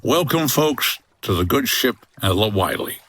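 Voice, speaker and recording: A man's voice: medium pitch, lively intonation, a little slow, fairly bright tone, dry recording. A man playing a wry, tough 1950s boat skipper in a comedy audio drama, talking in a clipped hardboiled way. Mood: cool, sardonic.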